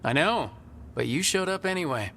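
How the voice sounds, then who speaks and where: An actor playing an earnest, wry adventurer hero in a fantasy video game, plain-spoken and direct. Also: male voice, fairly high pitch, lively intonation, moderate pace, bright, forceful tone, slight background noise.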